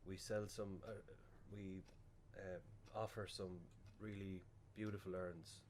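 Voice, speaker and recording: A man plays an Irish funeral director with a very low voice. He is awkward and placating, stumbling politely through a soothing sales manner. It is a naturalistic radio play with faint noise behind him. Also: little pitch movement, slow pace, darkish tone. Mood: awkward, tactful. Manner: hesitant, stammering, politely soothing.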